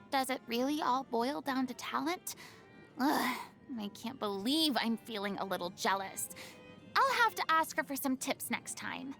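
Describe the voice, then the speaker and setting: A woman's voice: high voice, a bit bright, exaggerated voice. A woman voicing a proud, vain yet vulnerable young former stage celebrity in a video game, with a theatrical manner and flustered hesitations. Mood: delighted, envious. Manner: theatrical, boastful, prone to sighs and flustered hesitation. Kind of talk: video game character monologue.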